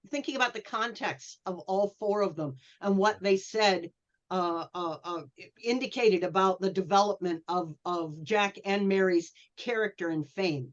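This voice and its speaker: A woman's voice: mid-range voice, normal speed. A woman chatting casually as a panelist, thoughtful and a bit hesitant, drifting into asides. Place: online video call panel. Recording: dull, lo-fi online call audio.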